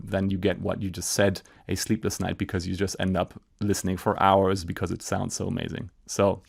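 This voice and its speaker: A man in his thirties with a German accent talks through listener questions in his home studio, his lowish voice picked up by a studio mic in front of him. He is enthusiastic and chatty, full of "uh" and quick restarts. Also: gravelly, darkish tone. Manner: enthusiastic, gesturing, frequent fillers and restarts. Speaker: acoustics educator answering viewer comments. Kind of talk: casual explanatory talk. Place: home studio room with acoustic treatment, indoors. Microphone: studio microphone on a stand in front.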